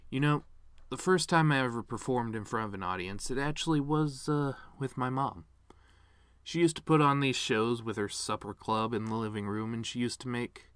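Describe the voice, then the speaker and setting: A man's voice: medium pitch, a bit bright, no room sound, lightly acted. A man performing a dramatic monologue as a bitter, regretful actor giving his mother's eulogy, with sardonic, rambling asides.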